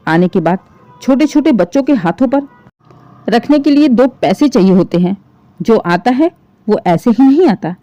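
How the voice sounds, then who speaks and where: A woman's voice: medium pitch, mellow, no room sound, highs cut off, close to an everyday voice. Stern, indignant older mother-in-law, voiced by a woman. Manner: stern, reproachful, lecturing. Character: indignant, aggrieved older mother-in-law scolding over her daughter.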